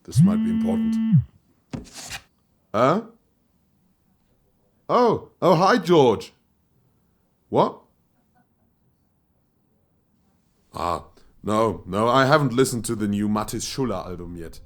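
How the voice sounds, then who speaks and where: A man's voice: mid-range voice, very animated, slow pace, long pauses. A man acting in an audio play as a distracted, breezy boss who speaks hesitantly and is easily sidetracked. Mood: chatty, sidetracked. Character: distracted, breezy male boss at a moon colony office.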